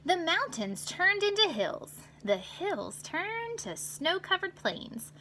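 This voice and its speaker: A woman reading a children's picture book aloud as a school counselor, with deliberate, expressive storyteller delivery, explaining things for young listeners. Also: very high-pitched, a little hiss or hum.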